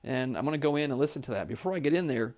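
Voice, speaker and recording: A man's voice: medium pitch, animated, very fast, darkish tone. A man giving a step-by-step, plain-spoken instructional tutorial as a trainer demonstrating office equipment.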